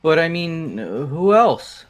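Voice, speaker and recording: A man's voice: on the high side, very animated, slow pace, faint music behind. A man playing a brash, laid-back student in a fan-voiced visual novel, slangy and full of disbelief. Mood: incredulous. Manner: slangy, exclamatory, questioning.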